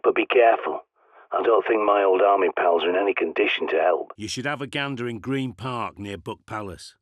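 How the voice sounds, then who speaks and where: An actor playing a gruff ex-army male survivalist with a British accent, giving blunt orders over a radio link. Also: fairly low pitch, brisk, dry recording. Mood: commanding. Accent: British English. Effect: radio transmission filter. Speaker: radio guide character. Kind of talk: video game voice acting. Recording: tinny radio-filtered dry studio voice.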